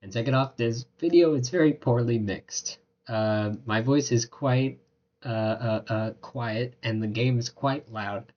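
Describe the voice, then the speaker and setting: A man, sick and raspy-voiced, hesitantly apologizing to his viewers in a casual voiceover, with lots of filler words. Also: mid-range voice, a little slow, darkish tone, dull audio. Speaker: gaming YouTuber.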